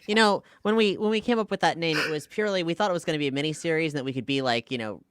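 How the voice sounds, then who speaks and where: A man's voice: on the high side, racing, fairly bright tone, clear, resonant tone, no echo, studio quality. A man chatting casually as a podcast guest, explaining things in a thoughtful, wry way. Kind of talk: casual conversational interview.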